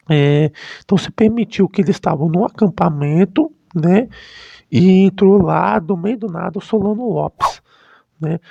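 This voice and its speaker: Brazilian male history vlogger, highish creaky voice, halting casual commentary, slightly muffled recording. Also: dark tone. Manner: halting, frequently rephrasing mid-sentence. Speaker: history YouTuber. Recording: dull, lo-fi, roomy sound.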